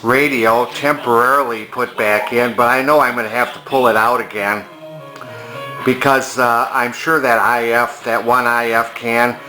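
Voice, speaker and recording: A man's voice: medium pitch, fairly even intonation, moderate pace, sharp tone, poor audio. Older man thinking aloud, music playing behind. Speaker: hobbyist radio repairer. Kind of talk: casual repair demonstration. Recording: background music from vintage radio and turntable.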